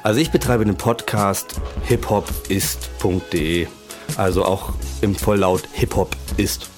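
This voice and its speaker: A man with a cold speaks as a guest on a radio show, with a low voice. He talks hesitantly with plenty of fillers, and faint music plays underneath. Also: measured pace. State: has a cold. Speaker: podcaster guest. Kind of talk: casual radio interview. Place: radio studio.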